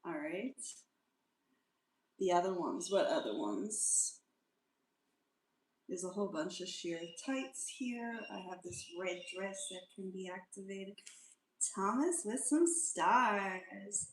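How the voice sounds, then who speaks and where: A woman's voice: medium pitch, expressive, takes it slowly, long pauses, echoey room, low-quality recording. A woman hosting a casual livestream, greeting viewers by name and humming little sing-song tunes between remarks. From South Africa. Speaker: livestream host.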